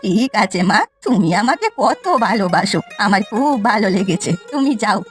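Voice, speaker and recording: A woman voicing a stingy, sharp-tongued old village woman in a cartoon, with a drawn-out way of talking. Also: medium pitch, lively intonation, soft background music, lightly acted. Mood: wheedling. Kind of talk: Bengali village cartoon voice acting.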